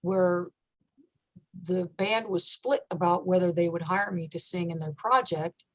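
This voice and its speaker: A woman metal singer with a low voice, talking casually in an interview, thoughtful and relaxed with many fillers. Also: measured pace, low-quality recording.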